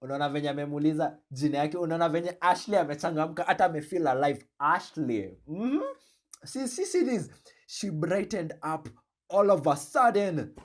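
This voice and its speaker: A man with a Kenyan accent hosting a reaction video, commenting in an animated, joking way and switching between slang and English. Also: fairly high pitch, normal speed. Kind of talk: commentary on a played clip.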